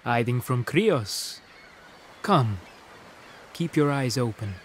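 An actor playing an earnest, determined young hero in a video game cutscene. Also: male voice, medium pitch, lively intonation, takes it slowly, slight background noise. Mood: earnest, wary.

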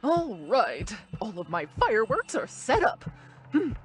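A villainous older schoolteacher from a cartoon game. Her voice is high and shrill, swinging wildly up and down. She draws words out slowly with melodramatic, spiteful relish. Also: wildly swooping pitch, soft background music. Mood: smug, pleased. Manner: exaggerated, slow, melodramatic. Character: nasty theatrical older female teacher, cartoon villain. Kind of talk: animated game cutscene acting.

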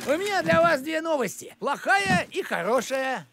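Animated, boastful cartoon village postman. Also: extremely high pitch, moderate pace, music in the background, heavily acted. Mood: ominous gossip. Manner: officious, boastful, theatrical. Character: grumpy, boastful, eccentric male village postman. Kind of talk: animated series character acting.

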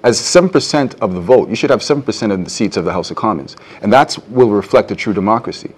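A man's voice: lowish voice, expressive, fast, husky. A young man, a political candidate answering earnestly in a studio debate, sometimes stumbling over words. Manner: earnest, some stammering restarts. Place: television studio.

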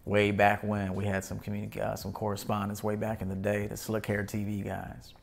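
A man's voice: fairly low pitch, completely flat delivery, brisk, creaky. A man in his thirties hosting a live stream from a small indoor studio, casually reading and answering viewer comments. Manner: laid-back, shouting out viewers by name. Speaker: host.

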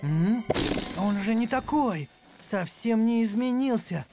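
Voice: medium pitch, expressive, slow pace, music in the background. An actor voicing a villain's bumbling son who whines and pleads, in a cartoon dub. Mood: sulky. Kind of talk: Russian cartoon dubbing.

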